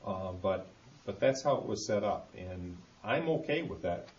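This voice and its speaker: Low-voiced man in an echoey meeting. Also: lively intonation, measured pace, darkish tone. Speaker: township board member at a meeting. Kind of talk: deliberating at a public meeting. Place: indoor meeting hall. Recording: muffled, echoey meeting room, background hiss.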